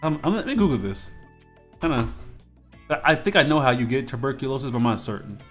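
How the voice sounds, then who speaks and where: A man's voice: mid-range voice, lively intonation, quick pace, soft background music. A man in his twenties, a streamer, chatting casually and rambling with lots of fillers and restarts while he draws on a live stream. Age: 20s.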